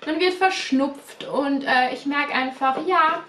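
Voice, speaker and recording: High-voiced chatty young woman vlogger. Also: slow, sharp tone, a little hiss or hum. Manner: chatty, repeats words, many fillers. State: slightly stuffy from a cold. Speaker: beauty vlogger. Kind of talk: casual unboxing chat. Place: small bedroom studio indoors. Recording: roomy echo, a bit distant.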